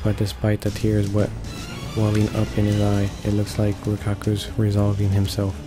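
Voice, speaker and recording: A man with a fairly low, very monotone voice reads a visual novel aloud. He goes haltingly, tripping over words and repeating them, with game music clearly playing behind him. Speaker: let's play narrator. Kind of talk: read-along of a visual novel.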